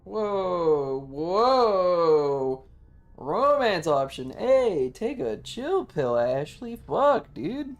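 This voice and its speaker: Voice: mid-range voice, very animated, very slow. A live streamer giving casual game commentary in a playfully bratty, sweary manner. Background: faint game music.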